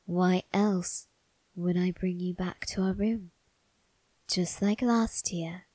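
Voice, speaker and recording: A woman voicing a suave male vampire husband in an ASMR roleplay, coaxing softly and often whispering with quiet menace. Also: lowish voice, fairly even intonation, no room sound. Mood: seductive menace. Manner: slow, soft, breathy coaxing, often whispered.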